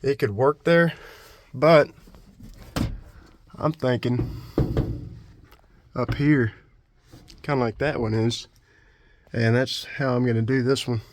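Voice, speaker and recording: Man, mid-pitched voice, folksy, casual unscripted vlog chat, rambling, colloquial. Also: steady tone, slow pace, long pauses, slight background noise. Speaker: vlogger, DIY truck project host.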